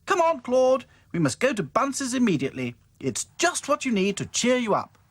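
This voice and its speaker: A man voicing a bossy, cheerful girl doll in a storybook, explaining things briskly. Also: a little high, wildly swooping pitch, fairly bright tone, breathy edge, no room sound, pitched above the performer's own voice, exaggerated voice. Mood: eager.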